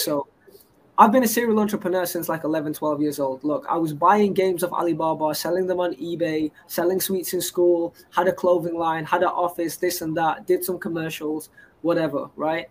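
Boastful young man, higher voice. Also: steady tone, a little fast. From England. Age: 20s. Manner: boastful and rambling, stacks up credentials. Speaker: guest entrepreneur. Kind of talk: casual interview talk.

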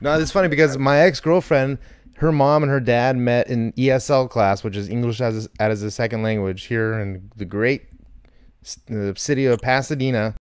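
Male comedy podcast host, mid-pitched, clean resonant voice, casual joking chat. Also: fairly even intonation, normal speed, clear, resonant tone, dry recording, clean studio sound.

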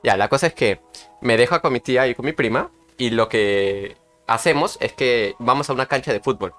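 A man's voice: mid-range voice, normal speed, fairly bright tone, soft background music. A young man telling a personal story in a casual podcast chat, hesitant and full of fillers. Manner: hesitant, rambling with many fillers. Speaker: podcast co-host.

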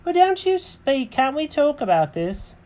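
A man's voice: very high-pitched, very animated, unhurried, a little mellow, voice pushed up, exaggerated voice. A man voicing a chatty, vain male cat in a children's story, full of dramatic questions and exclamations. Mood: curious.

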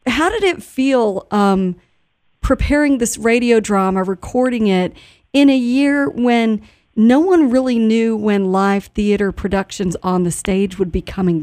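A woman's voice: medium pitch, a little slow, a little mellow, no room sound. A woman hosting a radio show, chatting with guests in a casual interview and reacting as she goes. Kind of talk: live interview, casual chat.

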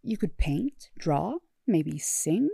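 A woman's voice: fairly low pitch, very animated, slow, creaky, husky, dry recording, clean studio sound, full character voice. A woman voicing an eager, strained-polite male robot therapist in a fan audiobook. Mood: encouraging. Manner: bright, encouraging, strained politeness.

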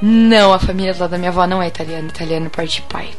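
A woman co-hosting a casual talk show, hoarse this week, chatting loosely and laughing often. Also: fairly low pitch, rapid-fire, soft background music. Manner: laughs often, relaxed banter. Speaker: podcast co-host. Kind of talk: casual chat and sponsor read.

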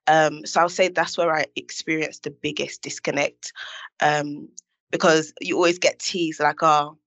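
A woman's voice: deep voice, flat delivery, a little slow, a bit bright, no echo. A woman from the UK, a podcast panel guest speaking in a light, self-deprecating way. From United Kingdom. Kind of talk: conversational podcast discussion.

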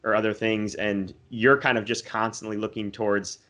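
A man hosting a casual interview, reflecting back on his guest's outlook. His voice sits on the lower side. He speaks in easy, conversational phrasing. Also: fairly even intonation, moderate pace, sharp tone.

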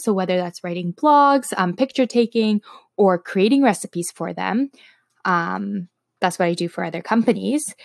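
A young Canadian woman, a native Slovak speaker, talks informally to her online followers. Her voice sits at an average pitch. She phrases things hesitantly and chattily, recorded dry with very little room sound. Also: creaky, no room sound. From Toronto, Canada. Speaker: health and wellness blogger. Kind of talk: casual chat to followers.

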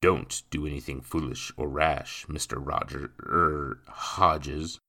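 A man's voice: very deep voice, steady tone, slow pace, creaky, pushed and bright, full character voice. A man voicing a stern warning official.

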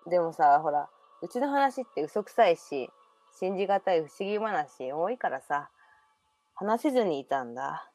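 A woman's voice: fairly low pitch, big pitch swings, moderate pace, darkish tone, no room sound, soft background music. A woman performing in an amateur radio drama as a young woman who confides memories of a past life. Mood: mysterious.

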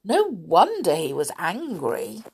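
A woman's voice: medium pitch, wildly swooping pitch, slow, vocal fry, husky, exaggerated voice. A woman voicing a young girl who exclaims and scolds in shock.

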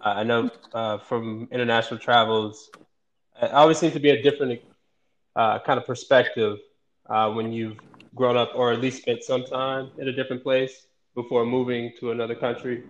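A man's voice: mid-range voice, fairly even intonation, normal speed. A man interviewing a guest, asking long, rambling questions full of uhs. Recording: dull, slightly noisy recording.

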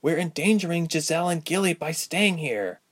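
A man's voice: fairly high pitch, lively intonation, normal speed, voice pushed up. A man voicing a guarded adult fantasy woman, earnest and terse, in a storybook reading. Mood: worried, urgent.

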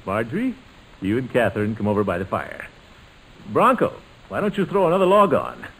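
A man's voice: mid-range voice, huge pitch swings, fairly quick, a bit bright, breathy edge, heavily acted. A man playing a pompous, jovial middle-aged uncle in 1940s radio comedy style, blustery and chuckling. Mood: excited. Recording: noisy vintage radio broadcast recording.

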